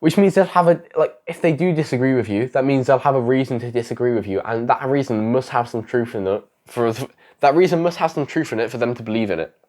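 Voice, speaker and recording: A man's voice: medium pitch, fast, a little mellow. A young man talks straight to camera, offering advice to fellow creators. He is sick and his voice is going, and he rambles and repeats himself, restarting sentences as he goes. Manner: rambling, self-correcting, repeats phrases. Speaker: YouTuber giving advice. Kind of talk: casual talking-head advice vlog.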